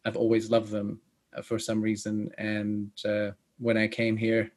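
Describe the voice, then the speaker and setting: A man in his thirties from Australia, with an Australian accent that sounds close to British, speaking as a guest on an interview show, measured and pausing often. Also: lowish voice, completely flat delivery. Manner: measured, with many pauses and fillers. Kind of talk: podcast interview.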